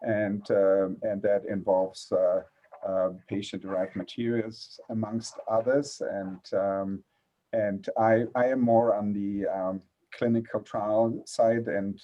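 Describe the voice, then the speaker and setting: A man's voice: lowish voice, dark tone, muffled recording. A middle-aged man with a German accent, a panelist introducing himself on a video call, hesitant with many fillers and restarts. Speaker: panelist, pediatric respirologist.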